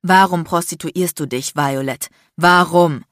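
An icy, domineering man in an audiobook drama, acted out by a woman. He is furious and sarcastic, hissing and barking threats in a lowish voice with a dry, close sound. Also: normal speed, no room sound. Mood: cruel, aggressive. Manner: shouting, biting, threatening, crude. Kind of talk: dramatic audiobook character acting.

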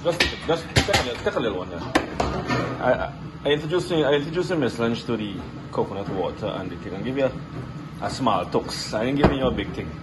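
A man's voice: mid-range voice, lively intonation, brisk. A man in his forties with a Guyanese accent, chatting casually as he presents a home cooking vlog. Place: home kitchen, indoors. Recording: noisy kitchen, loud appliance hum.

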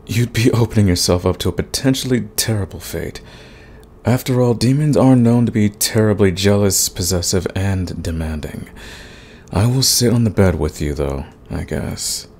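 A man playing a big, grumpy but soft himbo demon in a romantic comedy audio roleplay, husky and stammering in protest. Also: fairly low pitch, moderate pace, slight background noise, lightly acted. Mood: aloof, grudging. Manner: grumbling, sighing, stammering protests. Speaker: voice actor.

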